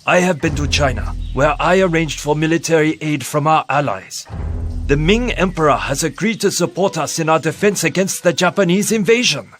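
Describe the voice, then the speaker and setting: A proud, self-assured court minister accused of treason. His voice is fairly high and formally indignant, in game drama style over faint music. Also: animated, moderate pace, a bit bright. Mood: insistent. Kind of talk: dramatic video game cutscene acting. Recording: game audio with music underneath.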